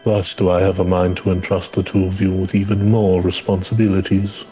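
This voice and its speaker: A man playing a calm, gracious knight in a video game, speaking formally and politely. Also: sits low, fairly quick, soft background music, close to an everyday voice. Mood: reassuring.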